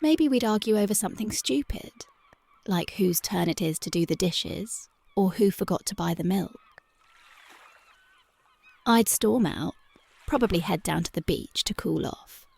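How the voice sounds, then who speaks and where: A voice actress playing a young punk girlfriend, speaking softly and whispering in a dreamy, intimate, affectionate ASMR roleplay close to the mic. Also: on the low side, measured pace, gravelly, long pauses, breathy edge, exaggerated voice. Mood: tender dreamy. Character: young punk woman, tender devoted girlfriend.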